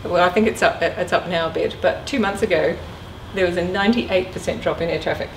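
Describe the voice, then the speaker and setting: Middle-aged female guest speaker, informal career talk, lowish voice, distant mic, noisy room. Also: brisk. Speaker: guest speaker, former air traffic controller. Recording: echoey room, distant mic, clear noise.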